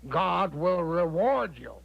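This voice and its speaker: High-voiced, breezy old-time radio con-man major. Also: big pitch swings, takes it slowly, creaky, heavily acted. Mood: pleading, solemn. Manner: hearty, reassuring, grandly old-fashioned turns of phrase. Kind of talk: 1970s radio drama acting. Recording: dull 1970s radio drama recording.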